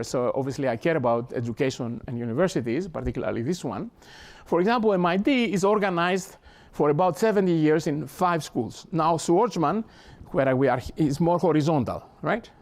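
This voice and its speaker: An older Greek-accented man lecturing to an audience with a somewhat raised pitch. He is earnest and halting, often restarting and repeating words as he works toward his point. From Greece. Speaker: professor. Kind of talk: academic talk. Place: lecture hall.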